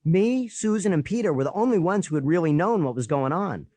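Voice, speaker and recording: Animated male children's audiobook narrator, lively pitch. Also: brisk, no echo. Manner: animated, boyish storytelling. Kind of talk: scripted first-person narration of a children's story.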